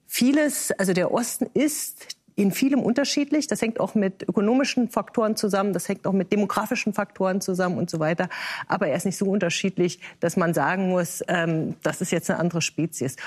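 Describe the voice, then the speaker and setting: A woman's voice: mid-range voice, darkish tone. A woman from East Germany, a guest on a talk show panel, arguing her point firmly with frequent hesitations. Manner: measured, many hesitations, firm.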